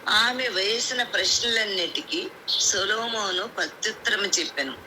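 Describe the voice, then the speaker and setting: A woman's voice: lowish voice, pushed and bright. A woman gives a devotional sermon, exhorting listeners in repeated phrases and reading out scripture. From India. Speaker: prayer group speaker. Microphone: phone on conference call. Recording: noisy conference call, vehicle sounds behind.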